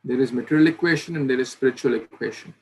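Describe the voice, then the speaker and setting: A man's voice: medium pitch, quick pace, a little mellow, a little hiss or hum, low-quality recording. A man in his thirties leading a scripture study over a video call from a small bedroom, talking earnestly with fillers and restarts. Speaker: scripture class leader. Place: small bedroom at home, indoors. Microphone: laptop webcam on video call.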